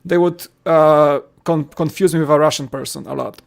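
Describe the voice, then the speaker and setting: Man, Polish accent, native Polish speaker, casual podcast chat, fairly high voice, rambling explanations with restarts. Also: steady tone, moderate pace, creaky. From Poland. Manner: talkative, explains at length with many restarts. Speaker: podcast co-host.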